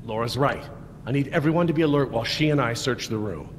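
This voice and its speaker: An actor voicing a calm, protective middle-aged man with an earnest manner in a video game. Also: medium pitch, fast talker, a little hiss or hum, natural voice. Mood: calm, concerned. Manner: earnest, conciliatory. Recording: loud scary music behind voice.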